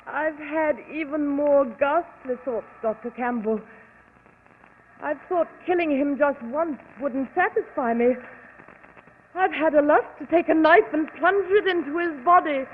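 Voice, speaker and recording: Distraught, bitter society wife. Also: female voice, sits high, a little slow. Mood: frantic. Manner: theatrical, overwrought. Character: anxious, bitter upper-class wife Christine. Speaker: radio actress. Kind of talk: 1940s radio acting. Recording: old radio broadcast, lo-fi and hissy, long reverb.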